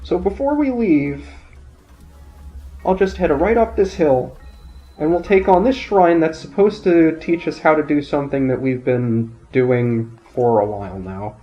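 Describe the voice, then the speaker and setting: Congested man giving calm game commentary. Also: on the high side, unhurried. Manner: calm, explanatory, thinking aloud. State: nasal and raspy from a sinus infection. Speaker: let's play commentator. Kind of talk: gameplay commentary. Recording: dull lo-fi audio, faint game music.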